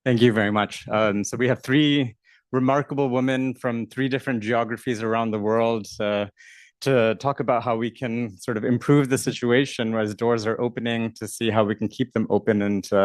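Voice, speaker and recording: A man moderating a conference panel, introducing speakers in a loud, projecting voice. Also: lowish voice, no room sound.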